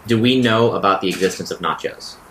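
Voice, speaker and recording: Male gamer, deep voice, casual table talk, faint room noise behind him. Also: a little fast, fairly bright tone, rough recording. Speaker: player in a role-playing game.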